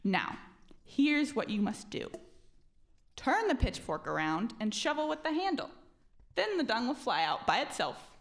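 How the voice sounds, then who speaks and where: Casting: female actor as sharp-witted young maiden, high, animated, earnest and knowing, radio folktale. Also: a little slow. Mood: knowing, instructive. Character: lovely, clever young maiden giving warnings. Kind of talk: audio drama folktale acting.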